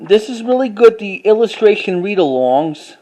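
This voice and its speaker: A man giving a casual show-and-tell as a collector, talking informally in a halting, repetitive way. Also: high voice, expressive, dark tone, rough recording. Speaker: collector showing items to camera.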